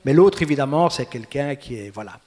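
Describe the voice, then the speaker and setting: A man's voice: medium pitch, expressive, brisk, a little mellow, in a large hall. A man from Brussels with a Brussels accent, a guest author and folklorist who chats playfully and recites his own verse. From Brussels, Belgium. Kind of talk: casual interview and poetry recital.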